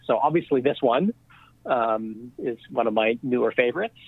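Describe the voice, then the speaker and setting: A man's voice: mid-range voice, expressive, moderate pace. A man guest on a podcast interview, talking casually over the phone with lots of fillers and restarts. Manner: rambling, many fillers and restarts. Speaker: podcast guest, real estate investor. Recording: tinny phone line.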